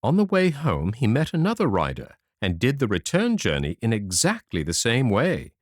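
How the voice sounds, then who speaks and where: A man narrating a children's fairy tale audiobook in an expressive, animated storytelling manner. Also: mid-range voice, moderate pace, no room sound, studio quality.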